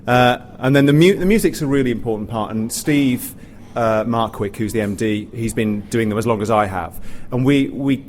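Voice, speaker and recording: A man with a medium-pitched voice talks informally as a theatre practitioner on a panel. He rambles, restarting and repeating phrases with plenty of "you know". There is noticeable noise behind him from the live recording. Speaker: pantomime writer, performer and director on a discussion panel. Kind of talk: unscripted panel discussion. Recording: noisy live room recording.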